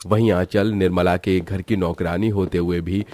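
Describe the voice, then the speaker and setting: A man's voice: sits low, fairly even intonation, moderate pace, mellow, no room sound. A man reading scripted narration for a moral cartoon story.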